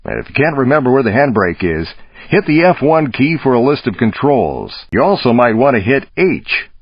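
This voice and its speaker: A man playing a gruff, encouraging American movie stunt coordinator in a video game, folksy and streetwise, with a raspy voice. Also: mid-range voice, big pitch swings, moderate pace, no room sound. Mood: encouraging coaching. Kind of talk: video game coaching dialogue. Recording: dry but dull lo-fi audio.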